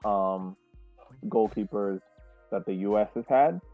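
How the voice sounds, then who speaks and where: A man's lowish voice comes through thin, phone-like audio. He discusses sport casually as a podcast co-host, halting and repeating words as he works out his point, with music underneath.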